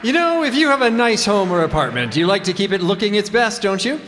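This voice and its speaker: A man's voice: high voice, big pitch swings, quick pace. A man playing a folksy old-time radio announcer delivering a persuasive advertising read. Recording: echoey hall, music behind.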